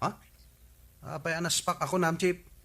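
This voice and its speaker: A man playing an excitable villager who eagerly reports on the neighbors in a radio comedy drama, with an Ilocano accent. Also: fairly high pitch, moderate pace.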